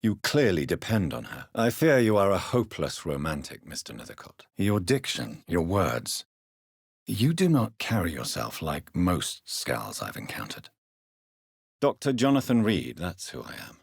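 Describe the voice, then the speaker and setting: A male actor with an English accent playing a grave, courteous gentleman doctor turned vampire in a video game, with formal, measured diction and a husky voice. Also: sits low, huge pitch swings, no room sound, clean studio sound, exaggerated voice. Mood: courteous, probing. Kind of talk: dramatic video game dialogue.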